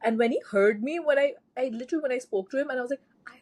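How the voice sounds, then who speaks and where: A young woman from India co-hosting a podcast, telling stories in an animated, chatty way. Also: high voice, rapid-fire. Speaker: podcast co-host. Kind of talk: casual chat.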